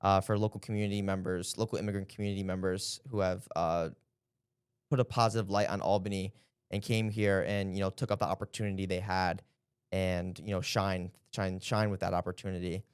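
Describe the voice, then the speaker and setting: A man's voice: low voice, level tone, brisk, clear, resonant tone, no room sound, clean studio sound. A young man answering interview questions in an earnest, hesitant way with many fillers. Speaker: interview guest, former intern.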